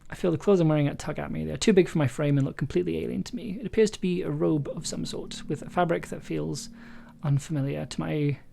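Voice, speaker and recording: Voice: medium pitch, fast, gravelly, hardly any pauses, dark tone. A streamer who thinks aloud while drafting a journaling game entry, often hesitating, trailing off and rereading drafted lines. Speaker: streamer and writer. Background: faint music and keyboard typing.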